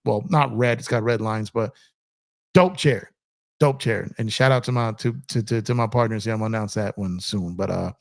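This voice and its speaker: A man's voice: mid-range voice, creaky. A man hosting a casual livestream, chatting loosely and reading viewer comments, rambling with many fillers and repeats. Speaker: livestream host. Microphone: streaming setup in a gaming chair.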